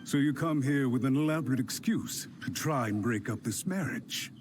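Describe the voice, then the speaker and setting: An actor playing a scheming male official in a game, sneering and quick to take offence. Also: mid-range voice, background noise, natural voice. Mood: smug. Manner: sneering, indignant. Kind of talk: game cutscene voice acting.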